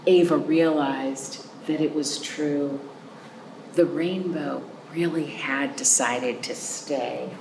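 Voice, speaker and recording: Female picture-book reader for young kids. Slow, expressive, dramatic pauses. Echoey room, faint noise. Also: on the low side. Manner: animated, measured storyteller delivery with dramatic pauses and stretched words. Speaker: librarian hosting a children's storytime. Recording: roomy echo, faint background noise.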